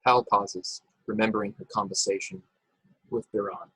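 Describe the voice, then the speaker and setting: A man's voice: lowish voice, fairly even intonation, moderate pace, a bit bright, breathy edge, no room sound, rough recording. A man speaking as narrator and organizer of an informal table read over a video call. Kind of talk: scripted narration and casual chat.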